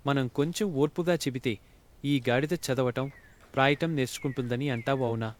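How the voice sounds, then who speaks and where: A man's voice: mid-range voice, fairly even intonation, moderate pace, slight background noise. A male storyteller narrates a scripted folk tale. His voice sits in a middle register.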